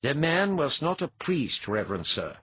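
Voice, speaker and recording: A man's voice: fairly high pitch, big pitch swings, normal speed, muffled recording, a little hiss or hum, pitched above the performer's own voice. A man voicing a wry, commanding mentor with a dry, instructive manner in an audiobook story. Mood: dry, calm.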